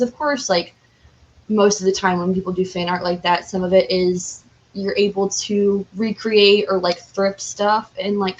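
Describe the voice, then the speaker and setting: A woman's voice: medium pitch, muffled recording, a little hiss or hum. A woman speaking as a podcast guest in an informal, enthusiastic, chatty way. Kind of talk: casual chat.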